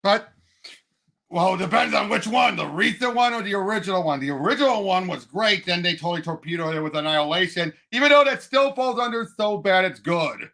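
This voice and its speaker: A man in casual fan chat as a show co-host, excitable and emphatic, often halting mid-thought. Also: high voice, creaky. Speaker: co-host of a movie and comics discussion show.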